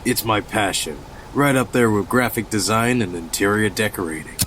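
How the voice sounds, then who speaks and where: Man acting a low-voiced deadpan wisecracker. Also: moderate pace, slight background noise, lightly acted. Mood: flippant. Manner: deadpan, flippant. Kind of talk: audio drama dialogue.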